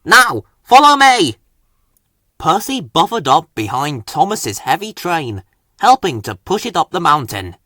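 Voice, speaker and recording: A man reading a children's storybook aloud as a scripted narrator, with an expressive, animated delivery. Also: a little high, no room sound, clean studio sound. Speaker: story reader.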